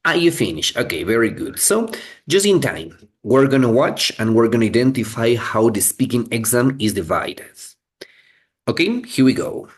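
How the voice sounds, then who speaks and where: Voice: medium pitch, sing-song, moderate pace, breathy edge. A teacher explaining things to students in an online exam-prep lesson, often checking that they follow. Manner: frequent checking questions like okay and right. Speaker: English teacher.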